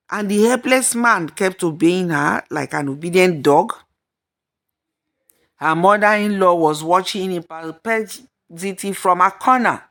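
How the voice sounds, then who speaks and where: Unhurried woman telling a folktale. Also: mid-range voice. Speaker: storyteller. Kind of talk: scripted folktale narration.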